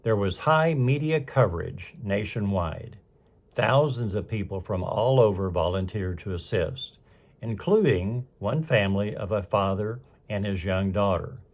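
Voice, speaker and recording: A man presenting a scripted talk that mixes storytelling and advice, in a formal, measured and deliberate manner. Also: on the low side. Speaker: presenter, fundraising consultant.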